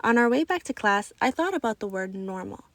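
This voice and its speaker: A woman reading a children's book aloud in an expressive, animated storytelling style. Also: medium pitch, brisk, dry recording. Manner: animated, acts out children's dialogue.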